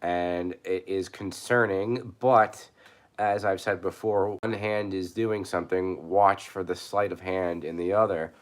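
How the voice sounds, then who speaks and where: A man hoarse from a cold, talking casually on his channel, halting and restarting with many fillers. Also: sits low, steady tone. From New York. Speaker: prepper channel host. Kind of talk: casual live commentary.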